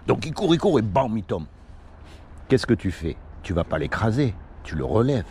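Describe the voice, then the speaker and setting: A man's voice: fairly low pitch, big pitch swings, moderate pace, darkish tone, slight background noise. A man from Morocco doing casual one-on-one street preaching, earnest and pleading, full of everyday examples. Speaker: street evangelist. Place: outdoors on a city street.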